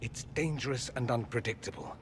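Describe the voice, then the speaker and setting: A man playing an earnest mage in a fantasy game, articulate, expressive and theatrical. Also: medium pitch, a little fast, slight background noise, lightly acted. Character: earnest, dramatic adult male mage time traveller. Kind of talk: dramatic fantasy game voice acting.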